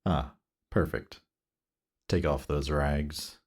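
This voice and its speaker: Guy doing a demon prince for roleplay audio, super low voice, slow coaxing teasing, seductive. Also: steady tone, exaggerated voice. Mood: playful, amused. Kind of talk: intimate roleplay audio drama.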